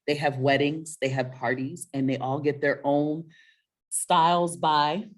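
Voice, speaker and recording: A woman co-hosting a children's story webinar, chatting casually in a warm, encouraging, motivational way and often checking in with questions. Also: low-pitched, steady tone, a little slow.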